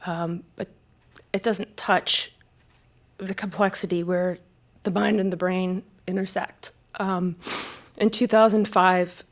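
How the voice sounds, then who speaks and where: A woman, a military spouse, giving personal testimony at a public discussion in a halting way, with frequent hesitations and self-corrections. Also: lowish voice, level tone. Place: live event space at a panel discussion.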